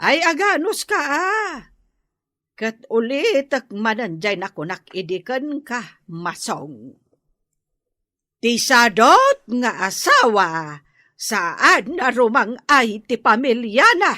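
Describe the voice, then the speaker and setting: A woman's voice: on the high side, sing-song, takes it slowly, sharp tone, no room sound, clean studio sound, heavily acted. A woman with a Filipino Ilocano accent playing a scolding older mother, emphatic, in a radio comedy drama. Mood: firm, admonishing. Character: scolding older mother of a wayward married man.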